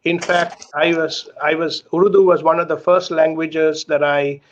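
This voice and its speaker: Middle-aged guy from sri lanka on a video call, earnest, keeps saying "right?", a bit high, muffled dull sound. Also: steady tone, unhurried, a little hiss or hum. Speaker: author and guest. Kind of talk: candid conversational interview talk.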